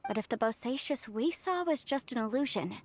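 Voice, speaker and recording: A woman's voice: fairly high pitch, lively intonation, a little fast. A woman playing an earnest, polite young woman in a video game, speaking articulately. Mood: doubtful.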